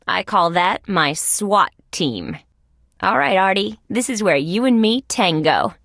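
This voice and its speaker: A woman voicing a young, wisecracking female insect police detective in a video game, with sardonic, deadpan quips. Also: mid-range voice, takes it slowly, dry recording, clean studio sound, exaggerated voice. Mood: cocky.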